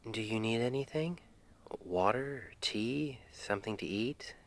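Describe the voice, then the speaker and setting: A man playing a tender, playful boyfriend in an ASMR roleplay, speaking in a gentle, intimate half-whisper to soothe his partner to sleep. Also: on the low side, lively intonation, unhurried. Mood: tender, soothing. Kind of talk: ASMR roleplay, soft bedtime storytelling.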